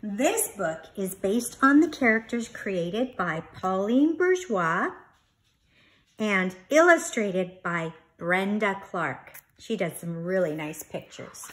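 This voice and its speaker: A woman reading a picture book aloud to young children in an expressive, instructive teacher's way, asking questions and repeating key words. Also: medium pitch, takes it slowly, low-quality recording.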